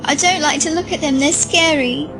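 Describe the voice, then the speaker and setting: Timid, high-voiced little girl. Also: fairly even intonation, normal speed, music in the background, low-quality recording. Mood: uneasy. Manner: hesitant, childlike, plaintive. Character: timid, earnest young girl, frightened and unheeded. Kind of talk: audio drama acting.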